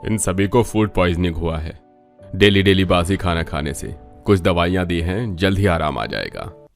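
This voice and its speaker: A man voicing a calm, matter-of-fact hospital doctor in a cartoon. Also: low voice, moderate pace, faint music behind.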